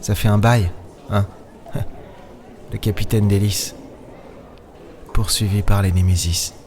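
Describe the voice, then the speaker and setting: A man playing a weary, sardonic space captain in an audio drama, speaking in a measured, wry storytelling way. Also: on the low side, steady tone, background noise. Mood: wry, bitter. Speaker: lead character and narrator. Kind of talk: audio drama acting and first-person narration. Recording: music behind the voice. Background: music.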